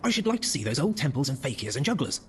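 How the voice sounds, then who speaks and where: Man doing a quick, animated old British gent. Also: mid-range voice, slight background noise, pitched above the performer's own voice. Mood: chatty, curious. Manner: chatty, lively, inquisitive. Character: eager, curious elderly British father. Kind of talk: dramatic audiobook horror reading.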